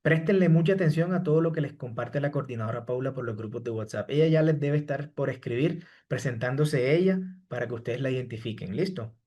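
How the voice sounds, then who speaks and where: A man's voice: mid-range voice, fairly quick, few pauses, highs cut off. Man answering a live class chat. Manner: repeats "¿sí?" and "estimados" after each point; reads out chat questions and answers students by name. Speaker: online course instructor.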